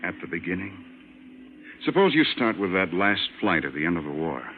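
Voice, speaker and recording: An actor playing a composed, deliberate military psychiatrist in a 1950s radio drama. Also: male voice, sits low, sing-song, a little hiss or hum. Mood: calm, reassuring. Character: calm, measured military psychiatrist narrating a strange case. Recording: tinny old radio recording with music.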